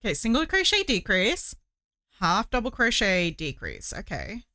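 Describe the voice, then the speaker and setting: A woman's voice: mid-range voice, huge pitch swings, moderate pace, pushed and bright, no echo, clean studio sound. A woman hosting a casual livestream, chatting in a rambling way and thinking aloud as she goes. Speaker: livestream host. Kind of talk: casual livestream chat while crocheting.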